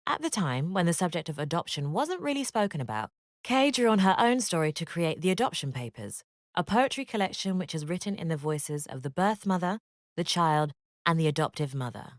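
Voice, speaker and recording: Woman, educational narrator, low pitch, measured expressive reading, dry studio sound. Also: no room sound. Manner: measured, expressive read-aloud delivery. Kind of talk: scripted narration.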